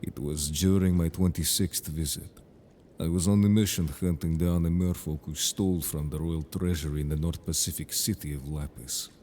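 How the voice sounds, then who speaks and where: A stern merfolk guard played by a man speaks in an extremely deep, dark voice over noticeable noise. He is formal and measured, a protective, serious interrogator from a fantasy audio drama. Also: steady tone. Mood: matter-of-fact, formal. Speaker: voice actor in an audio roleplay. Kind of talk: scripted audio drama roleplay.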